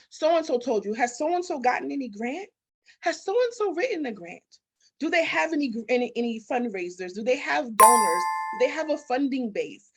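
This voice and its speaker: A woman's voice: on the high side, slightly rough. A woman speaking as a guest expert in a conversational interview, emphatic, firing off rhetorical questions and acting out bits of dialogue. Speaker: interview guest, business consultant and grant expert.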